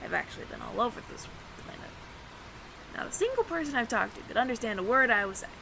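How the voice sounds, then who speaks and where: A woman's voice: on the high side, very animated, quick pace, creaky, sharp tone, slight background noise, full character voice, rough recording. A woman voicing a friendly, flustered alien roommate who passes as human, speaking to the listener in a hesitant, stammering, earnest ramble in an audio roleplay. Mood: wistful, candid.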